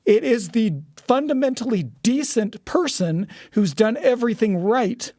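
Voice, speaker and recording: A man with a rather high voice discusses film as a podcast guest. He talks like a storyteller, voicing quotes as he goes. The sound is dry. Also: a little slow, a little mellow, no room sound. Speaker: podcast guest, audio drama producer.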